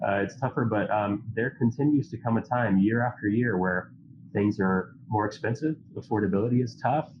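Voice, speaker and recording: A man with a fairly low voice speaks as a guest, sounding stuffy from a cold. He talks earnestly and at length, leaning on fillers as he thinks. The audio is dull and lo-fi with a faint hiss underneath. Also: moderate pace. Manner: earnest, rambling, filler-heavy. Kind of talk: casual chat.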